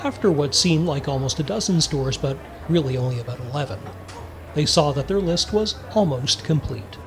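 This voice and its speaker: An actor playing a male storybook narrator in a comic radio play. His voice sits a little high as he tells a scripted tale. There is faint noise behind him. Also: expressive, normal speed. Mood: storytelling. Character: storybook narrator of a Christmas comedy.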